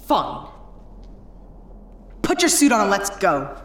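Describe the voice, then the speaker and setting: Sci-fi audio drama: actress as stern, commanding female spaceship captain, sceptical, very varied pitch, roomy sound. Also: sing-song, takes it slowly. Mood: exasperated, angry.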